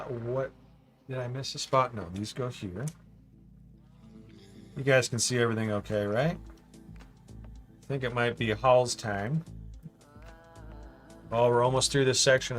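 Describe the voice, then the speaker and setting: A man's voice: mid-range voice, fairly bright tone. Easygoing man chatting through a build livestream. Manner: rambling, thinking aloud, reading chat and joking. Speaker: livestream host building a model. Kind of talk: casual livestream chat while building.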